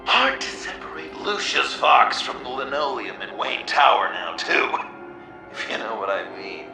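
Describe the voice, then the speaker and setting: An actor playing a manic, theatrical game villain who taunts the hero with macabre mockery, moving between hushed menace and gleeful shouts. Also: male voice, medium pitch, sing-song, measured pace, gravelly. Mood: gleeful mocking. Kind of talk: video game villain voice acting. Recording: echoey, distant, with loud music behind. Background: game music.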